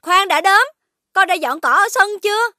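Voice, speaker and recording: A woman's voice: very high voice, animated, normal speed, very noisy. A woman playing a stern, nagging, exasperated mother in a children's cartoon. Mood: scolding.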